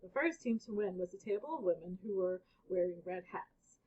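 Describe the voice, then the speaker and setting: A woman reads a children's story aloud with lively swings in pitch. Her voice is a little husky and sits at an average height. The sound is somewhat dull and lo-fi. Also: normal speed. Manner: animated, acts out exclamations and characters' lines. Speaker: storybook reader. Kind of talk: expressive children's read-aloud with casual asides.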